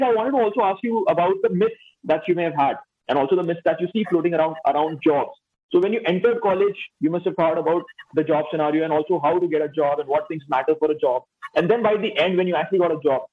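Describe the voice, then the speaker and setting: Upbeat fast-talking male panel host. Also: on the high side. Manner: upbeat, quick praise and prompts. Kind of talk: hosting a panel discussion. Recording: dull lo-fi audio.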